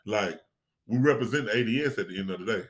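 A man's voice: fairly low pitch, quick pace. An American man chatting casually on a group livestream in a colloquial, slangy way. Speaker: stage play cast member.

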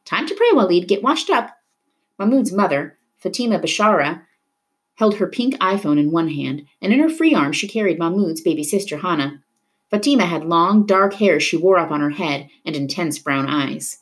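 A woman reading a novel aloud in an expressive storytelling style, dramatic at tense moments. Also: fairly low pitch, moderate pace. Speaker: reader of a children's novel. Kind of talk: expressive read-aloud narration of a book.

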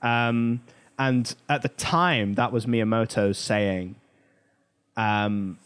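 A man's voice: fairly low pitch, very slow, a bit bright, smooth. A man co-hosting a pop culture talk show, discussing things in a casual, conversational way. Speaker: podcast co-host.

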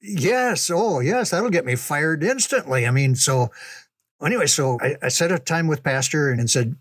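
An older man reminiscing casually in a folksy, unhurried, conversational way, as a storyteller. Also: fairly high pitch, lively intonation, slightly rough, dry recording. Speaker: storyteller recalling ministry memories.

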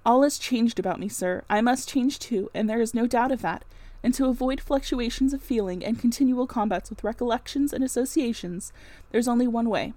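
Woman as young governess, storybook reading, weary, restrained, resolute, high-pitched, dry recording. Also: brisk, warm tone, no room sound, close to an everyday voice. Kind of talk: audiobook-style reading of a classic novel.

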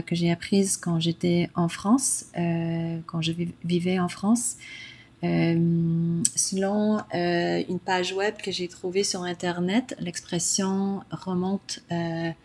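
A woman's voice: on the low side, darkish tone, breathy edge. A woman from Canada, a native English speaker with an English-Canadian accent, giving a prepared personal explanation.

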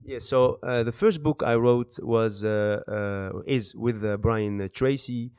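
A man in his thirties from Iraq and the Netherlands, answering interview questions in a halting, word-searching way. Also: medium pitch, a little mellow, clean tone, no room sound, studio quality. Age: 30s. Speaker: interview guest, author and business speaker.

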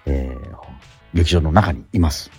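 A middle-aged man chatting casually as a radio host, animated but sometimes halting and reflective. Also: extremely low pitch, soft background music. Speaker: radio host and theatre actor. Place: theatre auditorium during rehearsal.

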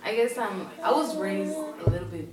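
A young Dominican woman chatting as a podcast guest, pausing often with "um" and "like". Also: medium pitch, lively intonation, music in the background. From Dominican Republic. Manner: hesitant, fills pauses with "um" and "like". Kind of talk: casual chat. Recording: roomy, distant, voices behind.

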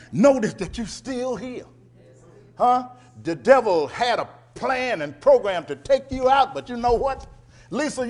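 A man a fiery sermon, shouting scripture and then dropping to low murmurs while calling out to the congregation. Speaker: preacher.

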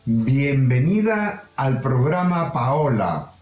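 A man hosting an interview, introducing his guest in a measured, formal way. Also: mid-range voice, sing-song, darkish tone, roomy sound, faint music behind. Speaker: host. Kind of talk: presenting an interview.

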